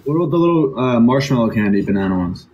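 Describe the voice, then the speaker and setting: A man's voice: mid-range voice, lively intonation, fast, darkish tone, lo-fi sound, slight background noise. Young guy on a phone live, teasing, playful, voice jumps up and down a lot. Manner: teasing, playful and loose with swearing. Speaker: livestream host. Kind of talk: casual live chat game. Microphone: phone camera on a live stream.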